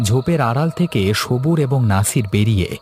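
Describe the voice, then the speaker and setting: A man's voice: medium pitch, expressive, fairly quick, a little mellow, soft background music. A man reading scripted narration for a cartoon story as its storyteller.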